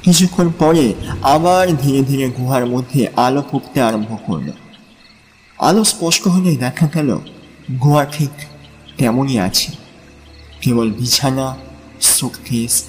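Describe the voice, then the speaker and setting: A man reads a dramatic audio story in a mid-pitched voice with long reverb. His delivery is slow, measured and suspenseful, and faint music plays underneath. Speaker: narrator. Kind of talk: dramatic literary audiobook narration.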